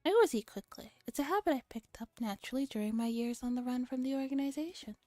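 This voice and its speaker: A woman acts out a delusional young man narrating a visual novel. The delivery is pompous and dramatic, in a soft, dark-edged tone with no room sound. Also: high-pitched, quick pace, dry recording, studio quality, soft background music, natural voice.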